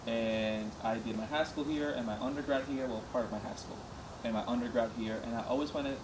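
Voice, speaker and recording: Fast-talking man, distant. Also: medium pitch, fairly even intonation. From Dominican Republic. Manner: quick, chatty, with frequent "like". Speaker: veterinary student. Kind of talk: casual group interview. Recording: distant mic with clear background noise.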